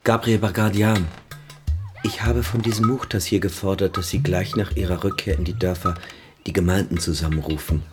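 An actor playing a grave, authoritative Armenian village priest in a radio drama, with solemn, formal delivery. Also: male voice, low-pitched, fairly even intonation, moderate pace, music in the background, close to an everyday voice. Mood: grave, resolute.

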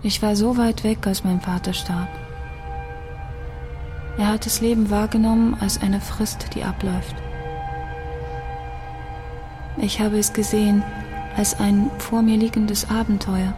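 An actress plays a young woman musician facing death in a radio drama monologue. Her voice sits at a middle pitch and moves very little. She is grave, inward and bitter, with faint music around her. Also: little pitch movement, normal speed, natural voice. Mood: grave, reflective.

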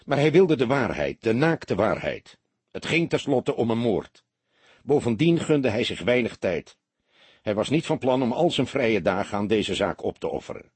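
A man narrating a detective story as an expressive, scripted audiobook reading. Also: mid-range voice, moderate pace, breathy edge, no room sound.

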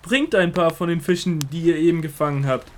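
Man acting calm, commanding Jesus, higher male voice. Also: fairly quick, a little hiss or hum, natural voice. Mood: calling, inviting. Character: risen Jesus, calm authoritative male teacher. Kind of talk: audio drama Bible acting.

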